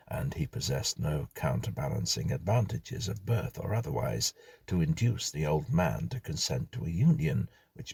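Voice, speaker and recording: Male audiobook narrator, very raspy, creaky voice, formal literary delivery, measured dramatic gothic storytelling. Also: very deep voice, darkish tone, no echo. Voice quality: gravelly, husky.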